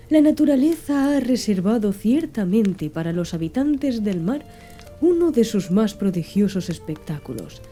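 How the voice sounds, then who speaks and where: A woman narrating an audiobook in a soft, slow, hushed ASMR style. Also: medium pitch. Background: faint background music.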